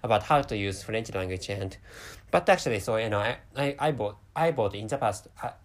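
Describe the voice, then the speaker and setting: A man's voice: fairly low pitch, animated, brisk. A man with a Japanese accent hosting a solo podcast, talking with a stutter and many restarts. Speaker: podcast host.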